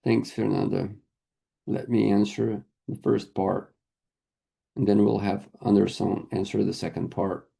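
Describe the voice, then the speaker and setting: A man interpreting a corporate earnings call live, speaking haltingly in short phrases. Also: on the low side, little pitch movement, creaky, warm tone, slightly rough. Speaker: conference interpreter.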